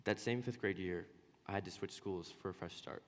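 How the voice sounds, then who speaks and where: A young man with a low voice gives a personal student speech on stage. He stutters, pausing and repeating words as he goes, and his voice rings with the long reverb of a hall. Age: young adult. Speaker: student presenter.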